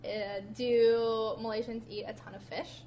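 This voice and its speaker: A woman co-hosting a podcast, asking quick questions in a brisk, casual way. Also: fairly high pitch, flat delivery, mellow, a little hiss or hum, rough recording. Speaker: podcast co-host.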